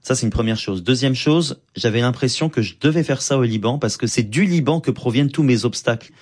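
A stand-up comedian, a man, speaks in a lively, flamboyant manner full of quick asides. His voice sits in a middle register. He sounds like an interview guest at ease in conversation. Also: medium pitch, breathy edge.

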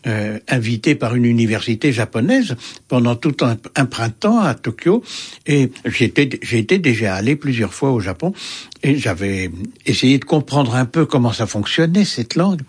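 A man's voice: mid-range voice, expressive, moderate pace, husky. An older man, an interviewed writer, answering in a musing, hesitant way with many fillers and restarts.

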